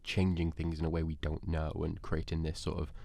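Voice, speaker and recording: A man with a deep voice argues casually, as on a podcast about films and games. He speaks haltingly, circling back and stacking clause on clause. The recording is close and dry. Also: monotone, gravelly, warm tone, no echo. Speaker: podcast host debating films.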